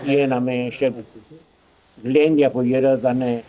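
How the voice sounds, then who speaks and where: A man's voice: mid-range voice, level tone, unhurried, dark tone, low-quality recording. A man from Greece with a Greek accent chatting casually in short phrases. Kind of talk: casual chat.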